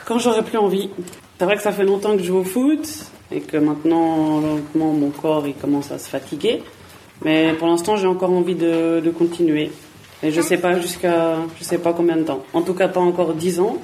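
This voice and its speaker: A woman's voice: lowish voice, steady tone, normal speed, mellow, slight background noise. Woman, plain friendly answers. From Switzerland. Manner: plain, friendly, repeats words while thinking. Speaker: footballer guest. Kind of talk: answering children's interview questions.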